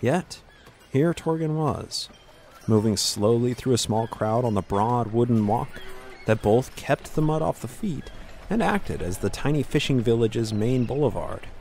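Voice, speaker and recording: A man's voice: medium pitch, animated, unhurried, gravelly, darkish tone, a little hiss or hum. A man giving a scripted narration of a fantasy story as its narrator.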